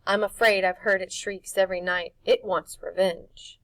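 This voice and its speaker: A woman's voice: mid-range voice, steady tone, moderate pace, dry recording, natural voice, poor audio. A woman voicing an anxious, kindly female ally of a child in a storybook, urgent and fretful. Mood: worried.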